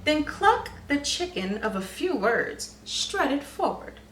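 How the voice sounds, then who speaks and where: A woman reading a story aloud to young children with dramatic, deliberate phrasing and questions to the listeners. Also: high voice, big pitch swings, fairly bright tone. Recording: echoey room, distant mic, faint noise.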